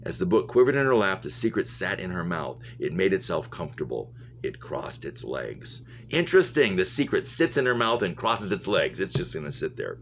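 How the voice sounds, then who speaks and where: A man's voice: low voice, sing-song, normal speed, few pauses. A man reading a novel aloud, stumbling over words now and then and going back over phrases. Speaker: reader, English teacher. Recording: muffled lo-fi audio with humming noise.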